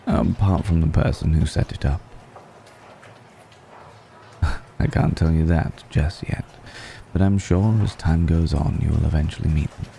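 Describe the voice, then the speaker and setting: A man playing a suave, secretive, courteous vampire noble in an intimate ASMR roleplay, speaking in a gravelly murmur with measured, hesitant pauses and genteel teasing. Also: extremely low pitch, dark tone, slight background noise, exaggerated voice. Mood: guarded, wry. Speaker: audio roleplay performer.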